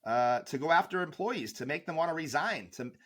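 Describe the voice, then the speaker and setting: A man's voice: on the high side, lively intonation, brisk, a bit bright. Guy on a podcast ranting passionately, lots of you knows and ums. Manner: passionate, argumentative, many fillers. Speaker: guest expert. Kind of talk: interview answers.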